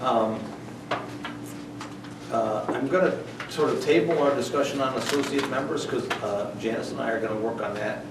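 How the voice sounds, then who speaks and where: A man's voice: medium pitch, moderate pace, background noise. Man chairing a meeting, far-off echoey mic. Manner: hesitant, lots of fillers and restarts. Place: town meeting room.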